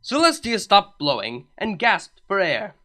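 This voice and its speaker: A woman narrates a comedic fan fiction story at an unhurried pace. Her pitch moves freely and animatedly as she reads. The tone is bright and clear. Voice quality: expressive, unhurried reading.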